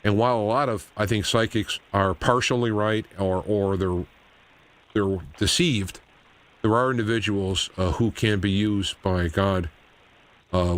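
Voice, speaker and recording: Male talk show host, fairly low voice, unscripted and meandering, halting phrasing. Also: a bit bright. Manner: rambling, halting delivery.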